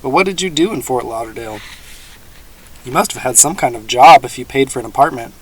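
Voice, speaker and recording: A man's voice: mid-range voice, a little fast, breathy edge, natural voice, low-quality recording. A man voicing a nervous, suspicious young boy who asks wary questions.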